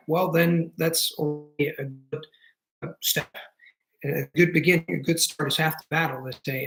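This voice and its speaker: A man's voice: a little high, rough recording. A man in his thirties with an Italian accent, answering viewer questions in a casual live stream, with many restarts and fillers. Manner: frequent restarts and fillers, thinking aloud; enthusiastic, explanatory.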